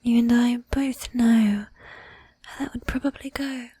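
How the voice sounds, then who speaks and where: Woman playing a breathy, slow-whispering seductive vampire lady. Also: a little high, steady tone, warm tone, no echo. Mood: coaxing, menacing. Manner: slow, teasing, commanding, with archaic polite phrasing. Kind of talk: whispered ASMR roleplay.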